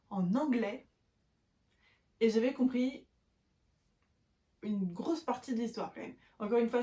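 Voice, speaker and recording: A woman's voice: medium pitch, mellow. A young woman talking casually to camera about her reading, with many fillers and self-corrections as she shows books. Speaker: book vlogger. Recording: echoey room.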